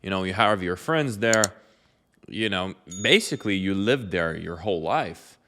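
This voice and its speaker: A man's voice: sits low, expressive, normal speed, sharp tone, slight background noise. A young man, talking casually about his life and giving advice with many fillers. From Lithuania. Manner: conversational, loose with many fillers. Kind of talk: casual talk to camera.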